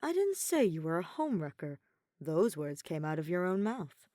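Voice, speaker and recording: A woman's voice: fairly low pitch, very animated, moderate pace, dark tone, dry recording, studio quality. A woman voicing a cool, pedantic young male scholar in a story, speaking in a clipped, composed, petty way.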